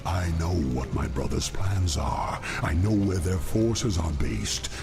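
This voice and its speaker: An actor playing a scheming demon dreadlord in a game cutscene, formal and oily with an undertone of menace. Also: male voice, low-pitched, animated, takes it slowly, music in the background, heavily acted. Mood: pleading. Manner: smooth-worded, formal, menacing. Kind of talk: video game cutscene acting.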